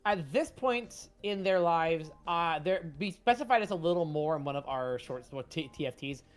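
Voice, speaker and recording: Casting: female stream host, lowish animated voice, informal creator chat over faint music. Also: moderate pace. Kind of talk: casual read-along stream chat.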